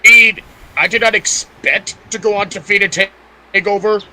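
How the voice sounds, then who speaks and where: A man in a casual, opinionated podcast chat, blunt and halting, often repeating his words. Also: sits high, steady tone, sharp tone. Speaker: podcast panelist.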